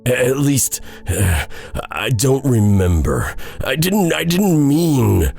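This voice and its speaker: A man voicing a polite, resigned young village man in a storybook tale, speaking softly, simply and earnestly. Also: medium pitch, big pitch swings, slow pace, vocal fry, soft background music, pitched above the performer's own voice, full character voice. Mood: pleading.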